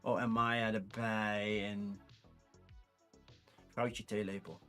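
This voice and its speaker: A man's voice: medium pitch, a bit bright. A Dutch man in his forties with a Dutch accent, chatting casually and rambling on a livestream, with frequent restarts. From Netherlands. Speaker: streamer.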